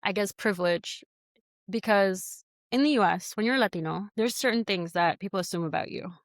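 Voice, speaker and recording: A woman's voice: medium pitch, normal speed, dry recording. American woman, spanish her first language, chatty interview guest, lots of likes, dry close sound. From United States. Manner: chatty and animated, many likes and you-knows. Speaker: guest, studio founder and CEO. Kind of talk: casual conversational interview.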